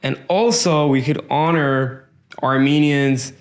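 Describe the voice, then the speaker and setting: A man's voice: mid-range voice, slow pace. Earnest interviewer man from Iran. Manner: earnest, affirming, repeats himself. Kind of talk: casual interview chat.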